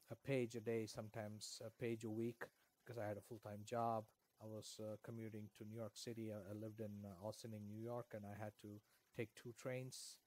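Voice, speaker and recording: Rambling Indian-born man, low voice. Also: mellow. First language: Kannada. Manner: rambling, with frequent fillers and restarts. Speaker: author guest. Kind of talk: casual interview answers.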